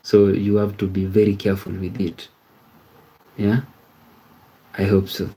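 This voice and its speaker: A man's voice: on the low side, completely flat delivery, measured pace, warm tone, husky, low-quality recording. A man in his thirties hosting a casual livestream, rambling and repeating himself as he talks to viewers and asks "you see?". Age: 30s. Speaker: livestream host. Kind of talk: casual livestream chat reading viewer comments.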